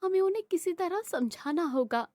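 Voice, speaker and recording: A woman playing a concerned young peacemaking sister, speaking in a measured way in an animated family drama. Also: extremely high pitch, no echo, studio quality. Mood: worried. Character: worried young sister trying to make peace.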